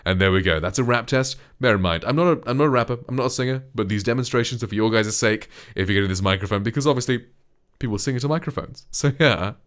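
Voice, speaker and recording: A man with a deep, dark voice, a voice actor chatting casually while reviewing a studio microphone he speaks into up close. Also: fast. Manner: relaxed and chatty, lots of loose filler words. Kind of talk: casual gear review with voice demos. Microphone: close studio condenser microphone.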